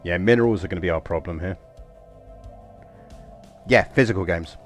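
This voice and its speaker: A man's voice: low-pitched, normal speed, soft background music. An Englishman chatting casually while live-streaming a game, rambling and self-deprecating. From England. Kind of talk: casual live gameplay commentary.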